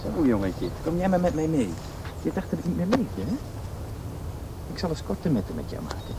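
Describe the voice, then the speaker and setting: An actor in a radio drama playing a coarse, menacing one-eyed gendarme out for revenge. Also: male voice, on the high side, huge pitch swings, normal speed, background noise.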